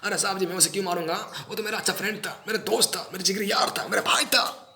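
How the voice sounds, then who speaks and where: A man's voice: high-pitched, fairly even intonation, quick pace, vocal fry, sharp tone, echoey room, exaggerated voice. A man performing an audition monologue as a small-time pickpocket, anxious and servile, pleading innocence to police in whiny, repetitive street slang. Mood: shocked, pleading. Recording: noisy amateur recording with clicks.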